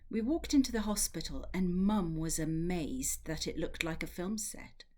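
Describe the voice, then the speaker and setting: A woman with a low-pitched voice reads literary fiction aloud as a narrator. Her delivery is measured and expressive, shaped like an audiobook reading. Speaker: literary translator reading from a novel.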